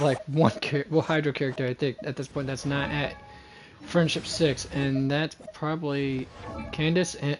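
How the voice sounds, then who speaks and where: A woman giving casual live game commentary as a streamer. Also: low voice.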